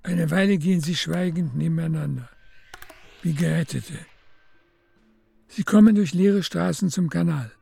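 Woman narrating a radio drama, creaky voice, music underneath. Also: on the low side, moderate pace, long pauses, dark tone. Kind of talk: scripted literary narration in a radio play.

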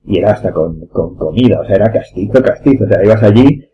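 A man's voice: lowish voice, lively intonation, fast talker. A man with an accent from Spain, chatting casually as a panelist in a group podcast. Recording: muffled, noisy with hum.

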